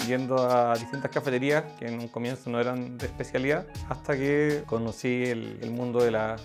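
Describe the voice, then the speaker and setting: Chilean man, gravelly and halting, with light guitar underneath. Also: medium pitch, fairly even intonation. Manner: hesitant, repeating words as he thinks. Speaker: barista interviewee. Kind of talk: on-camera interview answers. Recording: guitar music behind the voice.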